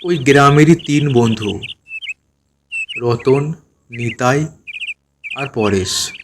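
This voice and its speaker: A man telling a scripted horror story as narrator, in a measured, dramatic manner. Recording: clear noisy ambient rumble behind narration.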